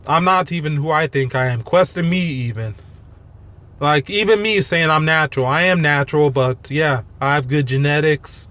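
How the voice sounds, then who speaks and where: A man giving an unscripted, rambling rant in a monotone, halting way, blunt and self-deprecating with casual swearing. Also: a little high. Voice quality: monotone delivery. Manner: halting, rambling, loosely strung thoughts. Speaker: fitness vlogger.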